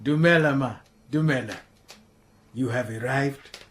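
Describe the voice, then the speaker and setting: A woman voicing a gentle, soft-spoken middle-aged man, placating and unhurried. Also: rumbling low. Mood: mild. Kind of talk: radio drama acting.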